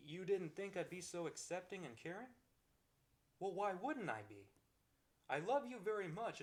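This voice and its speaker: A man voicing a kind-hearted, affectionate boyfriend in an ASMR roleplay, gentle, earnest and reassuring. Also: on the high side, lightly acted. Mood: tender, comforting. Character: kind-hearted, accepting, affectionate young boyfriend comforting his partner. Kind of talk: ASMR romantic roleplay, one-sided acted dialogue.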